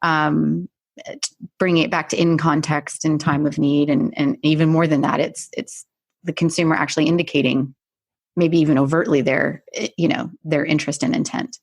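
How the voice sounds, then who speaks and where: A woman hosting a conversational business podcast interview, agreeable and quick to affirm what her guest says. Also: deep voice, little pitch movement, gravelly, darkish tone, slightly rough, no room sound. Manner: agreeable, frequent short affirmations. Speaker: podcast host.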